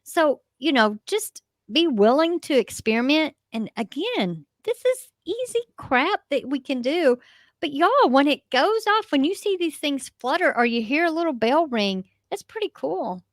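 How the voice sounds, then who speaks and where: A woman's voice: sits high, big pitch swings, measured pace, dry recording, clean studio sound. A middle-aged American woman co-hosting a show with casual, folksy, unscripted chat peppered with mild swearing. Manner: folksy, salty-tongued. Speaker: co-host of a paranormal show.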